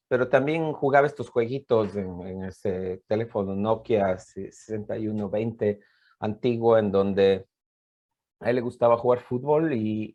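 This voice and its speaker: Low-voiced Ecuadorian man, halting speaker. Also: a little mellow, dull audio. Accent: Ecuadorian Spanish. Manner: hesitant, with frequent repeated words. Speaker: university professor giving a conference talk. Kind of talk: conference presentation, informal and explanatory.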